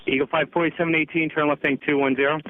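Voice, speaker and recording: A man's voice: a little high, level tone, very fast. An American man working as an air traffic controller, giving clipped, procedural instructions over aviation radio. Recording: tinny narrowband radio with static.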